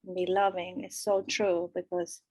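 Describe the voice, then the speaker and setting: A woman on a group video call shares a soft, grateful reflection. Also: lowish voice, flat delivery, moderate pace.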